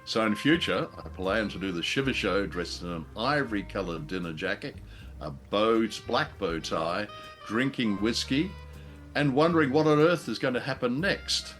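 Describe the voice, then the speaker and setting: A man's voice: fairly low pitch, animated, moderate pace, fairly bright tone, faint music behind. A man co-hosting a show, chatting about a classic film in a hesitant, musing way with a playful, self-deprecating streak. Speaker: podcast co-host. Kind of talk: casual discussion of a classic film.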